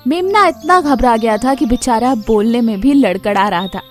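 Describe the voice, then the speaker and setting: A woman storyteller reading scripted children's moral tales in an expressive way. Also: a little high, moderate pace, faint music behind.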